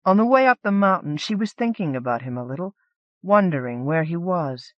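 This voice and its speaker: A woman's voice: on the low side, animated, a little fast, no echo. A woman reading literary fantasy fiction aloud as a scripted audiobook narration. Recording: dull lo-fi cassette audiobook transfer.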